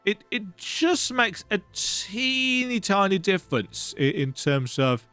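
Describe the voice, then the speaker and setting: A man hosts a let's play in a chatty, self-deprecating way. His voice is on the higher side and swings around with lots of animation. Game music plays behind his casual commentary. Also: big pitch swings, slow pace, sharp tone, clear, resonant tone. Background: video game music.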